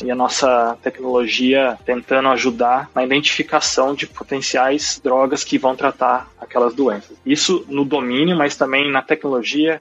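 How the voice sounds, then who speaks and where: A Brazilian man in his thirties with a Brazilian Portuguese accent, a guest in a casual interview explaining his work at length in a relaxed, thoughtful way. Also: medium pitch, highs cut off. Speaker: guest, IT manager. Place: home in London.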